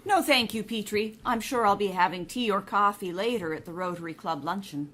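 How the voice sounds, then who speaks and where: A woman's voice: medium pitch, a little fast, a bit bright, close to an everyday voice. A woman playing a loyal, polite and level-headed secretary in a radio mystery. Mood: polite curiosity. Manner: polite, inquiring.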